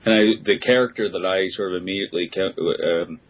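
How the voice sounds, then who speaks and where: Male panel guest, deep voice, measured and hesitant delivery, conversational. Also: lo-fi sound. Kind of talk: casual panel discussion.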